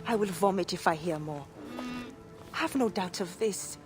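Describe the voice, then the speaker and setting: A woman voicing a frightened expectant mother in a video game, bitter and pleading in a slow, mid-pitched voice. Music swells behind her. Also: close to an everyday voice. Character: desperate pregnant wife fearing for her child.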